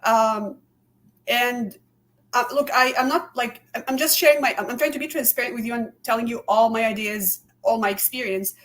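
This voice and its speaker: Female podcast guest, slightly high voice, earnest and candid, lots of restarts. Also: normal speed, a bit bright. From immigrant to Canada. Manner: earnest, repeats words and hedges often. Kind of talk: candid conversational interview.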